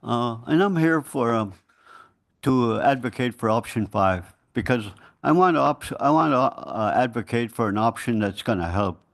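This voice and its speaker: A man's voice: mid-range voice, animated. Earnest man in his sixties testifying. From Middle Yukon, Alaska. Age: 60s. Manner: earnest, halting with many restarts; grows urgent and pleading. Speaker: public testifier. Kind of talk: public testimony.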